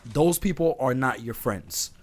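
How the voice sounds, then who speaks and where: Slightly hoarse man chatting over game noise. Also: mid-range voice, lively intonation, a little slow. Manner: informal, conversational, addresses listeners directly. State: slightly hoarse from being sick, often clearing throat. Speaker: host of an online talk series. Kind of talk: casual rambling advice chat over gameplay. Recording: clear background noise, game sounds. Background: video game playing in the background.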